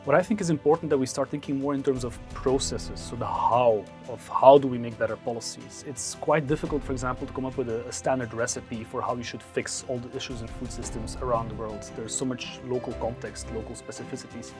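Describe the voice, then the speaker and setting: A man's voice: mid-range voice, a little fast, hardly any pauses. A man with a mid-range voice who speaks thoughtfully and with emphasis as a conference expert. Faint music plays behind him. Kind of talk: interview remarks.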